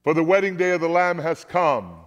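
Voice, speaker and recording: A man as a high, exultant heavenly worshipper. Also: expressive, brisk, muffled recording, close to an everyday voice. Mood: jubilant. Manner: triumphant, proclaiming shouts. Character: exultant heavenly throng shouting praise to God. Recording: echoey hall, long reverb.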